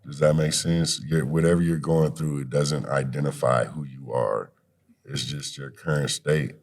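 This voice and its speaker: Very deep-voiced man, encouraging. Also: steady tone, normal speed. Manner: encouraging, uses "you know" often. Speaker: podcast guest, youth activist and coach. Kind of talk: conversational interview with motivational encouragement.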